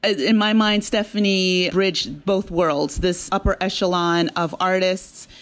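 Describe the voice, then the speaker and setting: A woman's voice: on the low side, a little slow. Thoughtful woman explaining in an interview. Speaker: guest, audio drama writer and creator. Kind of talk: conversational interview answers.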